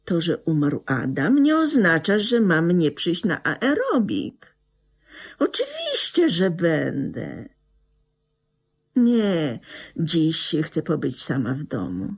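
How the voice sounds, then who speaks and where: An actress in a radio drama playing a bitter, resentful middle-aged widow, reproachful and emotionally swinging, with a husky, creaky voice. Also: female voice, lowish voice, huge pitch swings, slow pace, exaggerated voice. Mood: brittle, evasive. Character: bitter, resentful widow talking to her dead husband.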